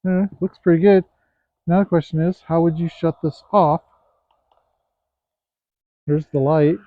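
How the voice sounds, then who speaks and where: Man, slightly high pitch, casual product reviewer, hesitant pauses, thin phone-like audio. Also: steady tone, no echo. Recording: thin, phone-like, band-limited sound.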